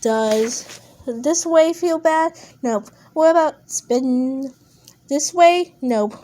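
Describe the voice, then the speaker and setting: An anxious, sarcastic male storybook wolf acted out by a woman. The voice is very high and exclaiming, firing off bewildered questions. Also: drawn-out words, warm tone, a little hiss or hum. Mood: exasperated. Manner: exclaiming, questioning, sound words blurted out.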